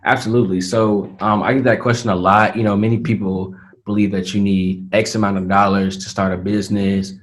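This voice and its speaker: An eighteen-year-old man speaks as an interview guest. His voice sits a little low for his age, and he answers in an earnest, measured way, often pausing on "um" and "you know.". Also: level tone. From Atlanta, Georgia, USA. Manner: earnest, measured, frequent fillers. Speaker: interview guest, young entrepreneur. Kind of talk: casual interview talk.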